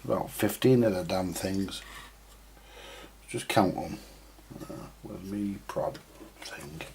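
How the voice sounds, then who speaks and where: A man with a husky voice, giving informal, unscripted hands-on commentary as he mutters through a repair, now and then mildly sweary. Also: deep voice, takes it slowly, noisy background. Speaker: host of a vintage radio repair video.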